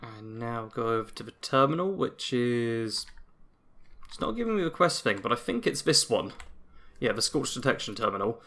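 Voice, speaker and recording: Bright-voiced man narrating gameplay. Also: medium pitch, very animated, moderate pace. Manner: chatty, self-narrating. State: getting a cold. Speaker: gaming YouTuber. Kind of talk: gameplay commentary.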